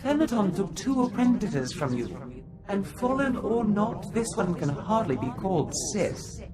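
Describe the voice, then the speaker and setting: An actor playing a scheming Sith mentor who gives counsel in a video game cutscene, measured and formal. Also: medium pitch, very animated, music in the background. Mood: calculating.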